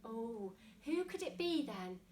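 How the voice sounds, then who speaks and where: A woman's voice: a little high, sing-song, takes it slowly, a little mellow, recorded from a distance, a little hiss or hum. A woman reading a picture book to young children theatrically, with asides and questions to her listeners. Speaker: storybook reader. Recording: echoey room.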